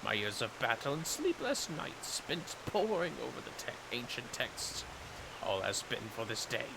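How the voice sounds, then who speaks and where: A man voicing a solemn, honorable warrior burdened by guilt, speaking gravely in formal, archaic phrases as fantasy game dialogue. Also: medium pitch, very animated, measured pace, noisy background. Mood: earnest resolve. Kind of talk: dramatic read-aloud of fantasy game dialogue. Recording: prominent music behind the voice.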